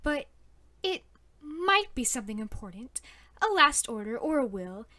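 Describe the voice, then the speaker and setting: A woman's voice: sits high, big pitch swings, unhurried, pushed and bright, exaggerated voice. A woman playing a genteel, wounded but resolute young lady in a period audio drama, speaking politely and dramatically. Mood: bitter. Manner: polite, old-fashioned, dramatic sighing delivery.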